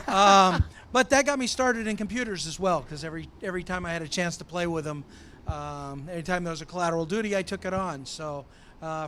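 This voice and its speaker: A man with a high voice introduces himself on a conference stage, joking and poking fun at himself. Faint hall noise sits behind him as he talks. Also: a bit bright. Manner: joking, self-deprecating. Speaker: conference panelist. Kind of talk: panel self-introduction.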